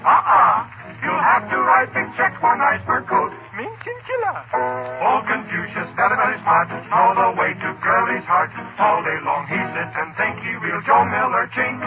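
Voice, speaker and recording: A man's voice: high-pitched, big pitch swings, moderate pace. A man performing a vintage comic novelty song theatrically in mock pidgin English. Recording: tinny old radio recording with loud music.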